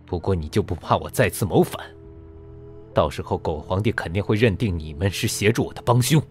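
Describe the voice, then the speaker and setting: A man plays a disgraced, embittered scholar in a costume audio drama. His low voice is brooding and intense, moving widely in pitch over faint music. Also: lively intonation, a little fast, a little mellow. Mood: bitter. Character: proud, embittered male scholar, disgraced and wounded. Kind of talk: costume radio drama acting.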